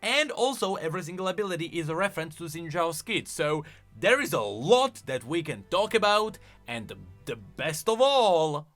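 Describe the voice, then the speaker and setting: A man's voice: on the high side, very animated, moderate pace, a bit bright, faint music behind. A man hosting a video, giving casual, enthusiastic commentary on game lore and explaining as he goes. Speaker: video host.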